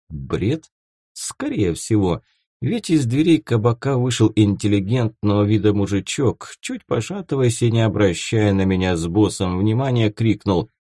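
A man narrating fiction from a script as an expressive audiobook reader. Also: on the low side, dry recording, studio quality.

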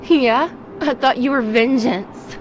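Streetwise young woman, defiant, wounded, vengeful, slightly high voice, noisy ambient background, audio drama. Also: takes it slowly. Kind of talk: film-style audio drama acting.

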